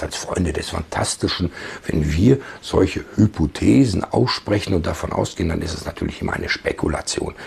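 Male video host, fifties, low register, badly hoarse and raspy, informal sceptical commentary. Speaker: YouTube host. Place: book-lined study, indoors. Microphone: lapel mic.

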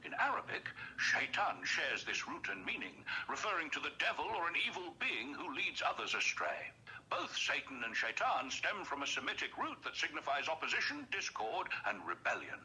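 Theatrical old Father Christmas for a chat app: kindly, very bright, wildly animated pitch. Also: normal speed, heavily acted, poor audio. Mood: earnest, explanatory. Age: older. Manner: exuberant, courteous, theatrical. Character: jolly kindly old Santa Claus, warm and scholarly. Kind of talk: AI character phone chat, explanatory. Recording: phone speaker playback, some background noise.

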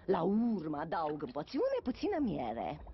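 A woman's voice: deep voice, huge pitch swings, slight background noise, exaggerated voice. An actress playing a vain, scheming wicked queen in a dubbed cartoon, theatrical, able to wheedle like a sweet old pedlar or turn venomous. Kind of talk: dubbed fairy-tale cartoon acting. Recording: dull lo-fi cartoon soundtrack, faint music.

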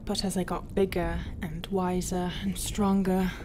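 A woman playing a proud, brooding, non-human pirate captain in a roleplay, guarded and often dropping into a half-whisper with hesitant pauses. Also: fairly low pitch, level tone, noisy background, close to an everyday voice. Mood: wistful, grave. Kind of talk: roleplay ASMR acting.

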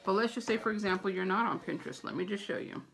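A woman's voice: mid-range voice, quick pace, vocal fry, a little hiss or hum. A middle-aged American woman giving casual, chatty advice to camera in an informal way, repeating her points for emphasis. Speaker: vlogger giving household tips. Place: small room at home, indoors. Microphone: phone or tablet camera at about arm's length.

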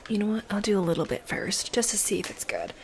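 A young adult woman doing a casual ASMR role play, speaking softly and often slipping into a whisper with mouth sounds, close to a desktop mic. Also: mid-range voice, sing-song, fast. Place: bedroom, indoors. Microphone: close desktop condenser mic. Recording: close mic with noise, clicks.

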